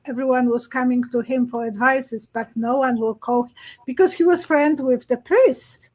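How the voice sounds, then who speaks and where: A woman speaks English as a while hosting a chat on a video call. Her voice sits high, and she asks her questions eagerly. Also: normal speed, a little mellow, poor audio. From Poland. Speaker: host, psychic. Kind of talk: casual chat.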